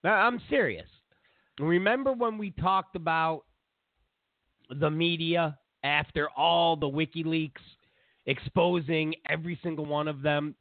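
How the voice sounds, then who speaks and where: A man's voice: a little high, no echo. Congested man hosting a talk show. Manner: sarcastic, rambling. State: congested from a cold. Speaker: show host. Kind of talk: political talk monologue. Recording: tinny phone-like sound.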